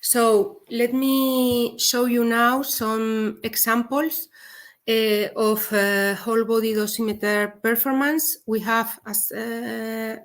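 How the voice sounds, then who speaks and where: A woman with a Spanish accent giving a technical webinar presentation, speaking haltingly with frequent pauses. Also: on the high side, little pitch movement. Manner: hesitant, halting non-native delivery with frequent pauses. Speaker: presenter, head of a dosimetry service.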